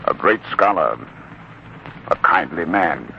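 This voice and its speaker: An actor playing a proud, loyal, warm-hearted blacksmith father in a theatrical 1940s radio drama style. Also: deep voice, lively intonation, takes it slowly, vocal fry, full character voice. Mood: proud, firm. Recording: muffled, noisy 1940s radio recording.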